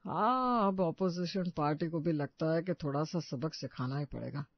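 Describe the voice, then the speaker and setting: A man's voice: on the high side, moderate pace, dry recording, lo-fi sound. A man voicing a kindly, playful older father-in-law in a cartoon moral story. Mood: conspiratorial. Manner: encouraging, playful.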